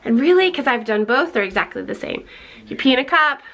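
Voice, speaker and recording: A woman's voice: sits high, animated, brisk, a bit bright. A woman in her thirties, a pregnant mother chatting casually to a handheld camera at home, laughing easily. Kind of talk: casual family vlog chat. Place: home bedroom and kitchen. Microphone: handheld camera at arm's length.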